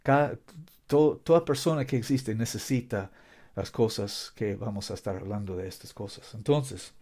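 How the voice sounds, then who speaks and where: A man's voice: on the low side, normal speed, dark tone, breathy edge. A man preaching a sermon in Spanish, which is not his first language, repeating himself emphatically with frequent restarts. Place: home in Costa Rica.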